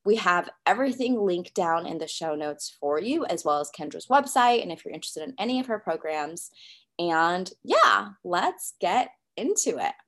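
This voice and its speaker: A woman's voice: lowish voice, very animated, moderate pace, a bit bright. A young woman from California hosting a podcast, sounding stuffed up and a little nasal from a cold, bubbly and gushing with frequent laughs. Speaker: podcast host. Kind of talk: upbeat podcast intro.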